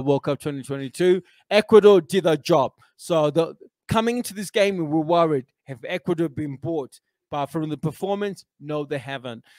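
A man's voice: on the high side, a little mellow, no echo. A man in his thirties from England, a football pundit giving blunt, emphatic opinions in a casual studio chat. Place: small indoor studio with a backdrop.

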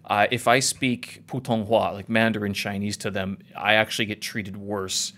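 A man's voice: on the low side, level tone, moderate pace, fairly bright tone. Chatty Canadian man, rambling. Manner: rambling, self-correcting, laughs at himself. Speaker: podcast host. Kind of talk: casual conversation over a call.

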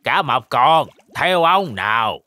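A performer voicing a kindly old grandpa shark in a children's cartoon, animated and coaxing. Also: mid-range voice, takes it slowly, no room sound, full character voice. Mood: jolly, coaxing.

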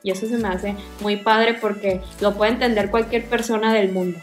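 A woman's voice: mid-range voice, fairly even intonation, moderate pace. A young woman with a Mexican accent talks to camera in a casual, enthusiastic way, reviewing makeup as a video host. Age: young adult. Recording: roomy echo, music behind. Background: music.

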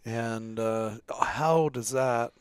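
Male co-host, middle-aged, medium pitch, informal and bantering, dry close headset. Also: extremely slow, clean tone, dry recording. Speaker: podcast co-host. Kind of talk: casual, bantering podcast chat. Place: small wood-panelled room, around a table. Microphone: headset mic.

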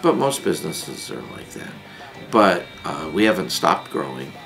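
An older man, a radio executive, talking business in a measured interview. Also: sits low, big pitch swings, vocal fry, a bit bright, faint music behind. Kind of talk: documentary interview.

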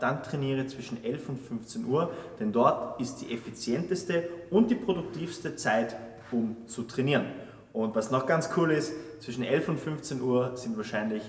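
A man's voice: mid-range voice, recorded from a distance. Upbeat man in an echoing gym. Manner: upbeat and emphatic, punchy headline phrases. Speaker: fitness coach. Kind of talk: scripted tips presentation. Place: large empty gym, indoors. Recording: roomy echo of a large hall.

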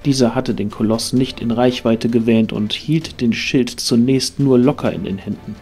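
Male fantasy audio drama narrator, medium pitch. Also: moderate pace, darkish tone, soft background music.

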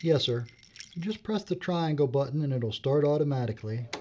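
A man's voice: fairly high pitch, expressive, a little fast, darkish tone, slight background noise, lightly acted. Man playing a level-headed filmmaker, slightly muffled. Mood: polite, matter-of-fact. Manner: matter-of-fact, casual. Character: practical, level-headed male documentary filmmaker, mildly wry. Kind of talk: audio drama acting.

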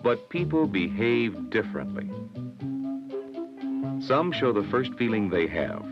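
Low-voiced American man narrating, dull old soundtrack. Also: big pitch swings, loud music behind the voice. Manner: measured, instructive. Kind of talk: scripted 1960s educational film narration.

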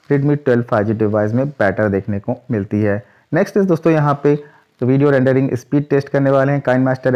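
A man from India giving a brisk hands-on commentary comparing two phones, often calling out to his viewers. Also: medium pitch, darkish tone. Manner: brisk, repeats the audience address often. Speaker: tech reviewer.

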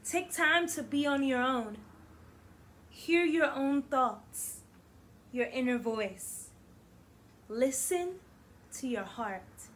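A young woman teacher reading a picture book aloud to children in an animated, deliberate, encouraging way. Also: high-pitched. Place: small indoor room against plain backdrop.

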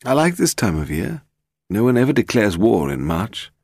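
Man playing a bluff older gentleman, higher-pitched. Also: big pitch swings, moderate pace, creaky, dry recording, studio quality, heavily acted. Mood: cheerful musing. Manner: hearty, exclamatory. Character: bluff, pompous, well-to-do older male historian. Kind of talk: audiobook character voice.

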